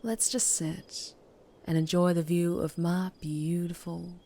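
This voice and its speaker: A woman playing a mysterious, wary and teasing female vampire biker with a Southern US accent, in an intimate ASMR roleplay with breathy whispers. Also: flat delivery, mellow. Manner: slow, low, teasing, lapsing into breathy whispers. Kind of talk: ASMR roleplay, scripted character acting.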